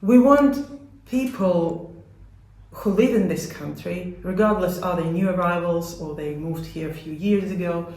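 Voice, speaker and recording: A woman with a Latvian accent giving measured, earnest explanations as an interviewee about her work. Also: on the low side, darkish tone. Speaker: community support organisation worker being interviewed. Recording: echoey room.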